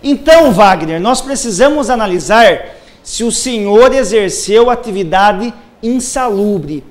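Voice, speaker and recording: A man's voice: high-pitched, animated, unhurried. A man working as a social security lawyer, methodically explaining pension rules step by step on a live TV program. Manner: methodical, lays out cases step by step.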